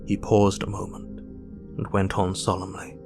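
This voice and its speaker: An actor playing a grieving Victorian doctor who recounts his diary in formal, measured narration for an audio drama. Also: sits low, monotone, gravelly, music in the background. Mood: somber, grave. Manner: formal, measured literary storytelling.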